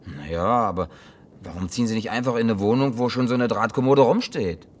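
An actor dubbing an awkward, gruff man wandering alone after the end of the world, muttering curses. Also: lowish voice, a little fast, darkish tone, natural voice. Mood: wary. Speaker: Jim, survivor on foot. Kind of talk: German film dub.

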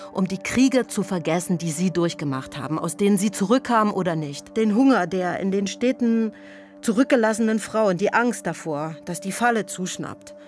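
A woman's voice: medium pitch, darkish tone, lightly acted. A woman playing a world-weary, reflective actress in a confessional radio-play monologue.

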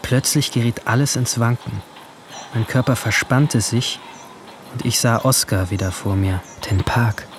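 A man playing a brooding, alienated seventeen-year-old who narrates his guilt in a hushed, introspective radio drama style. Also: mid-range voice, a little slow, background noise, lightly acted. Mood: sullen, uneasy. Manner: hushed, introspective, at times whispering.

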